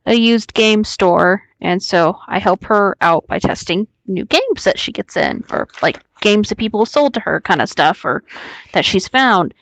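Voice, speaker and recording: A woman with an average-pitched voice chats casually in a dry, close recording. She is blunt and sweary, talking as one co-host among friends. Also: lively intonation, unhurried, a bit bright, no echo, low-quality recording. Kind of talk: casual group chat.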